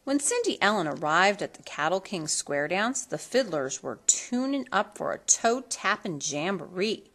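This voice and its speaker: A woman reading a children's storybook aloud in an expressive, theatrical manner that leans on colorful words. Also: medium pitch, normal speed, few pauses. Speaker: storybook reader.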